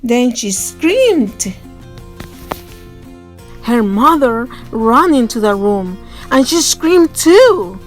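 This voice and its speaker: A woman reads a children's story aloud, expressively and very slowly. Her voice is on the high side, with soft music in the background. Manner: expressive, deliberate.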